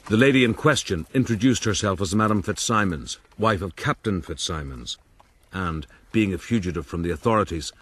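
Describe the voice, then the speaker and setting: Deep-voiced rogue narrator. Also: male voice, animated, moderate pace, a bit bright, a little hiss or hum. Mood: wry, reflective. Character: urbane Irish rogue recounting his youth. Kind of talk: scripted first-person radio drama narration.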